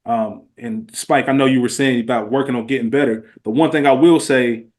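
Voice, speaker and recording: A man's voice: mid-range voice, fairly even intonation, fairly quick, darkish tone. A man speaking as a podcast host in casual conversation, earnest and emphatic, often repeating phrases.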